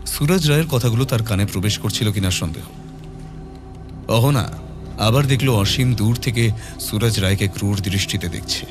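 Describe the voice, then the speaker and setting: A male storyteller narrates scripted horror fiction with dramatic delivery. His voice is fairly low, and music plays clearly behind him. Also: normal speed, pauses often.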